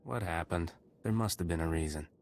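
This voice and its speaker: An actor playing a calm, fair-minded male adventurer in a video game, speaking in a terse, measured way. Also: low voice. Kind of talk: scripted video game dialogue. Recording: noisy game capture.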